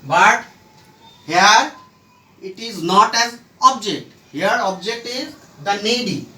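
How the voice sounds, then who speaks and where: A man teaching a grammar lesson at a board, speaking in deliberate, halting, emphatic phrases, recorded from a distance in an echoey room. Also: high voice, expressive, pushed and bright. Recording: roomy echo, distant mic, faint noise.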